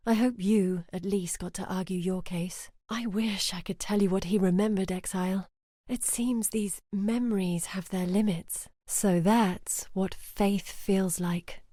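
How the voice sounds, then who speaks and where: Video game heroine, played by a woman: earnest, brooding scholar. Dark, mellow timbre, reflective dramatic read, dry studio sound. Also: medium pitch, steady tone, a little slow, no echo, close to an everyday voice. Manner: earnest, reflective, theatrical delivery.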